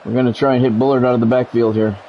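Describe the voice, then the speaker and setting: A man streaming a football video game, giving excitable play-by-play and bluntly talking at the players in short, urgent, repeated phrases. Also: medium pitch, rapid-fire, muffled recording, a little hiss or hum. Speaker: gaming streamer doing play-by-play.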